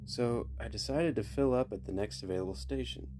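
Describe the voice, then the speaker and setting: A man reading creepy stories as a podcast host, in scripted narration with measured, deliberate phrasing and dramatic pauses. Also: mid-range voice, background noise. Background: faint music.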